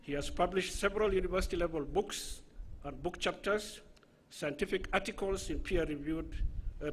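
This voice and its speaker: A man with a Somali accent reading a formal citation at a graduation ceremony, measured and deliberate, with asides to the audience. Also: a little high, a little hiss or hum. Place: large graduation ceremony venue.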